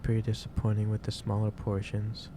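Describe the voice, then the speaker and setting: Low, wide-ranging man in hesitant ASMR roleplay. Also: completely flat delivery. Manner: hesitant, halting, pausing mid-sentence, gently advising. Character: gentle, caring male office boss. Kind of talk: soft ASMR roleplay, improvised monologue to the listener. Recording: clearly noisy background ambience.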